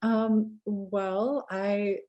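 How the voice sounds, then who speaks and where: A woman's voice: medium pitch, little pitch movement, drawn-out words, lo-fi sound. A woman with a Californian accent, talking casually as an interview guest, chatty with lots of fillers, restarts and easy laughter. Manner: chatty, many fillers and self-corrections, laughs easily. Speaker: guest researcher.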